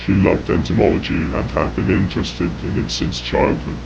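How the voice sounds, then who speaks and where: A man reading scripted horror narration in a grave, measured way, with a gravelly, whispery voice. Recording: dull, noisy lo-fi audio with rumble.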